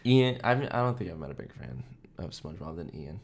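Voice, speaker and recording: A man's voice: low voice, big pitch swings, fairly quick, gravelly, husky. A young American man co-hosting a podcast in casual chat, talking with enthusiastic, slangy phrasing. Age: young adult. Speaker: podcast co-host.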